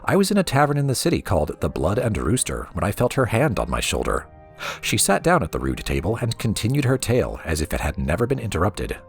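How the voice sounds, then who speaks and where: Male narrator, low register, highly varied intonation, reading storybook prose over background music. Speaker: let's play host reading in-game books aloud.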